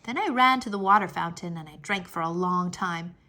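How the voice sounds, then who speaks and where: A woman reading a children's storybook aloud as narrator, expressively, with animated, childlike first-person storytelling. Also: lowish voice, a little fast.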